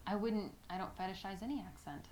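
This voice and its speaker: A woman's voice: medium pitch, fast, reverberant, a little hiss or hum, close to an everyday voice. A woman playing a dry-witted young woman. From United States. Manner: calm, dry, dismissive. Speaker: coffee shop woman in a video game.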